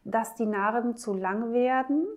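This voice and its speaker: A woman plastic surgeon with a German accent, calmly explaining a cosmetic procedure to the camera. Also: fairly high pitch. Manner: calm, measured and informative, points while explaining.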